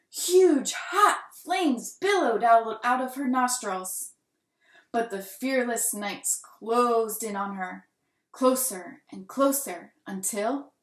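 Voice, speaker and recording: A woman reading a children's picture book aloud with deliberate, dramatic emphasis and expressive delivery. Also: mid-range voice. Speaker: children's storybook reader. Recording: roomy, echoing room sound.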